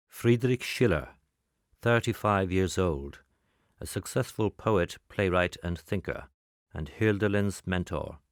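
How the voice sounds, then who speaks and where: Male narrator, low voice, dry close sound, formal and measured, clipped spy-file report. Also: no echo. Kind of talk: scripted spy-dossier documentary narration.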